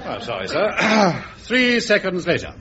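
Cheeky, apologetic underling, radio comedy, highish animated voice, very noisy old tape. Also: male voice, unhurried, highs cut off, over a noisy crowd. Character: apologetic, cheeky male subordinate and announcer sidekick.

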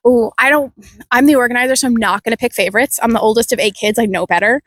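Canadian lady being interviewed, higher voice, chatty n keen, dry close sound. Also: fast, fairly bright tone, no room sound. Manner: enthusiastic, talkative. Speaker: community event organiser being interviewed. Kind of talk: conversational interview answers.

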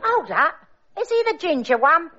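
A brash Cockney pearly queen in a radio sketch, chirpy and teasing, full of rhyming slang. Her voice is high. The recording sounds dull, with noticeable hiss. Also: very animated, moderate pace. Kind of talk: radio sketch comedy acting.

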